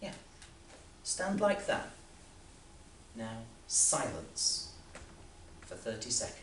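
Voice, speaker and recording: A man's voice: mid-range voice, wildly swooping pitch, takes it slowly, fairly bright tone, heavily acted. A man playing an overbearing, needling boss in a rambling theatrical monologue full of rhetorical questions. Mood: controlled, eerie. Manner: rambling, insistent, with rhetorical questions and sudden swells. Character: Elwood, overbearing, needling male boss fretting about the young. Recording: roomy, distant mic, faint background noise.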